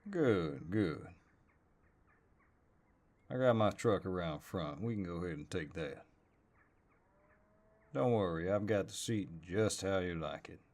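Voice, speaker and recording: A man playing a flirtatious, easygoing cowboy neighbor with a deep voice and a folksy American Southern drawl, in an intimate roleplay audio. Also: animated, darkish tone, full character voice. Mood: warm, teasing. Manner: folksy drawl, playful and unhurried.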